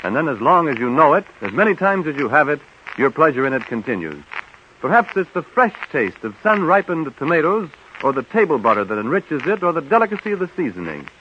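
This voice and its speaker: Bright, animated male 1930s radio announcer. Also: a little high, brisk. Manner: polished, persuasive. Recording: noisy muffled 1930s radio broadcast recording.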